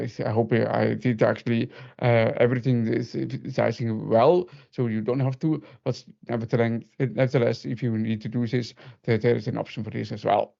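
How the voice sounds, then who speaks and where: Halting man, gravelly low creak. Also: warm tone. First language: German. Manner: halting, frequent repetitions and self-corrections. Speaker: presenter, data solution architect. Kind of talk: informal technical webinar presentation. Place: online video meeting. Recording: muffled, band-limited online call audio.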